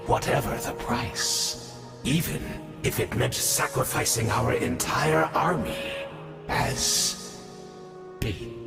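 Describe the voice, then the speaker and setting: An actor voicing a grandiose, scheming herald of a dark order in an anime game, with a gravelly, formal, theatrical delivery. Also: male voice, on the low side, heavily acted. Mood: cold, deliberate. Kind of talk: anime video game voice acting. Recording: cavernous echo, long reverb, game music.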